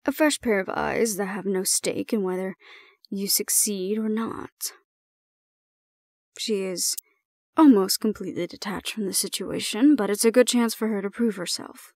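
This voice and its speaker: Woman acting as stern, composed supervisor for an audio drama; formal, deliberate delivery, dry close sound. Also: mid-range voice, a little mellow, no echo. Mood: calm, formal. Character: composed, stern female supervisor handling a dangerous ward. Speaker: voice actor in audio roleplay. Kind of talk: scripted audio roleplay acting.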